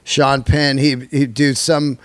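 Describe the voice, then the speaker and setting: A man's voice: medium pitch, level tone, slow pace, smooth, no echo. A man hosting a podcast in casual conversation, eagerly agreeing with his guest. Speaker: podcast host. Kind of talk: casual interview chat.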